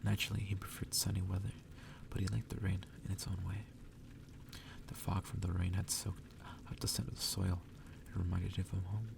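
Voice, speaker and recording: Man, hushed ASMR story reader, low flat pitch, breathy, unhurried, distant noisy recording. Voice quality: breathy, whispery. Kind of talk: ASMR whispered reading of a story. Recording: distant mic with clear background noise.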